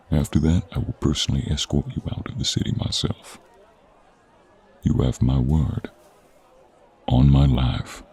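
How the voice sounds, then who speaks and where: Man voicing a gruff monster hunter, rock-bottom pitch, fry-laden gravel, wry, honourable, audio drama. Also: a little mellow, heavily acted. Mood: firm, earnest. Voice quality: gravelly, husky. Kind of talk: audio roleplay acting, speaking to the listener.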